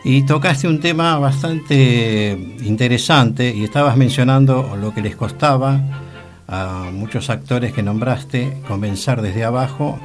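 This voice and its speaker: A man's voice: mid-range voice, a little slow. A man with a Rioplatense Argentine accent, chatting casually as a radio co-host in a studio. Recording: music playing behind the voice. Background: music.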